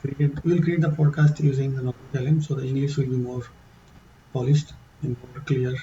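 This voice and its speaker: Man with a dark, muffled voice. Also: medium pitch, flat delivery, slight background noise. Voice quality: non-native English accent. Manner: halting, many fillers and restarts. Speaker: tutorial presenter. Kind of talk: casual screen-share walkthrough.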